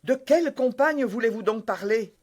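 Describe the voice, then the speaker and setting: A reader voicing a passionate young swordsman who calls out loudly and urgently for help. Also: medium pitch, animated, normal speed, dull audio, voice pushed up, close to an everyday voice. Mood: eager. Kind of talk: audiobook character voice.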